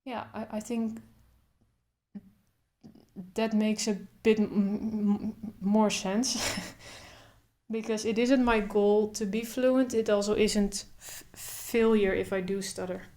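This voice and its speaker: A young woman who speaks openly with a stutter, repeating first sounds and pausing in blocks, talking thoughtfully to camera in short phrases. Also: medium pitch, steady tone, dark tone. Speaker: vlogger. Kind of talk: casual talk to camera.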